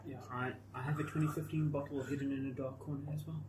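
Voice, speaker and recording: A man's voice: medium pitch, little pitch movement, fast talker. Curious guest man. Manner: curious, asks questions. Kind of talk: casual chat. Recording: roomy with clear noise.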